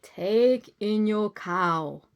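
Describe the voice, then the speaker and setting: A woman voicing a calm, wise old man in a storybook, giving firm, deliberate advice. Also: mid-range voice, clear, resonant tone, lo-fi sound. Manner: slow, deliberate pronouncements.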